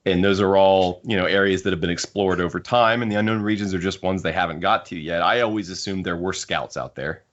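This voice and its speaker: A man's voice: low-pitched, brisk, hardly any pauses. A man hosting a podcast, casually discussing a book and thinking aloud as he rambles. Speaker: podcast host. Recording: dull lo-fi podcast audio.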